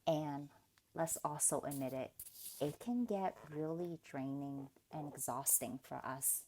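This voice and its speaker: A woman leading a guided meditation in a gentle, soothing, deliberate manner, leaving pauses between phrases. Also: low voice, a little hiss or hum. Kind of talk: guided meditation, scripted narration.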